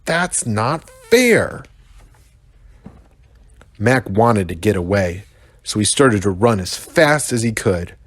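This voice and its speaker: An American man, a pastor, reading a children's story aloud with expressive narration. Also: on the low side, measured pace, breathy edge, dry recording.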